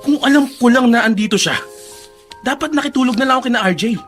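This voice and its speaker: A woman's voice: fairly high pitch, fast, fairly bright tone, soft background music, lightly acted. A woman playing a resentful, defiant young son in a melodramatic radio drama. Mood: cold resentment.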